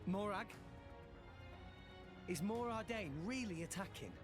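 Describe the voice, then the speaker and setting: A woman's voice: mid-range voice, unhurried, over music, close to an everyday voice. A woman voicing an earnest, plucky teenage boy hero, eager and direct, in a video game scene. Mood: concerned. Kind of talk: video game cutscene acting.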